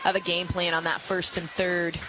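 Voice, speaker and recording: A woman giving live softball analysis as a radio color commentator, explanatory and opinionated. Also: lowish voice, level tone, normal speed, over music, crowd noise, rough recording.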